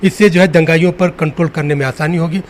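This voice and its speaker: A man's voice: fairly high pitch, steady tone, fast. A man reporting from the field for TV news and putting wordy questions to a guest. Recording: clearly noisy location sound.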